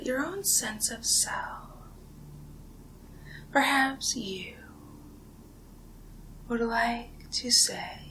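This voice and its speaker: A woman playing a condescending, seductive vampire in an ASMR hypnosis role play, whispering breathily with slow, hypnotic pauses. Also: fairly high pitch, fairly bright tone, a little hiss or hum, low-quality recording. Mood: mocking, gentle. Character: condescending seductive female vampire feeding on human prey.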